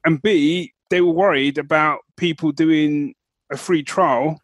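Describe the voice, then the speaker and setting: Higher-pitched man bantering casually. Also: fairly even intonation, a little slow, no echo. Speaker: podcast co-host.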